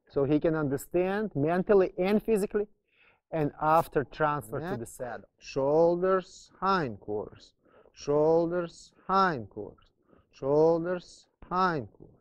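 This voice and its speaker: A man's voice: a little high, darkish tone. A man who speaks English as a second language, giving an instructional explanation as a horsemanship trainer, repeating key terms for emphasis. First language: not English. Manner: emphatic repetition of key terms, non-native grammar.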